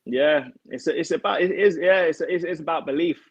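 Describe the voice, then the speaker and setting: A young man chatting as an interview guest on a video call, agreeable and halting, repeating words as he goes. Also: fairly high pitch, gravelly, breathy edge, no room sound, dull audio. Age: young adult. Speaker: guest, karate athlete. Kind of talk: casual interview chat. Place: home kitchen, on a video call.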